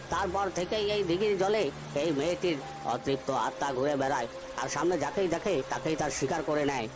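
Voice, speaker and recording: A gruff old fisherman from a cartoon ghost tale, acted by a man, with a fairly high voice over prominent music. He bosses and scolds his younger companions like a village storyteller who believes every superstition. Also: natural voice. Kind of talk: Bengali animated ghost story voice acting.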